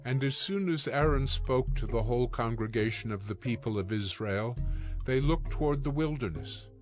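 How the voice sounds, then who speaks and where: A man narrates scripture in a scripted audio production. His voice sits at a middle pitch. Music plays clearly beneath the narration. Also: normal speed.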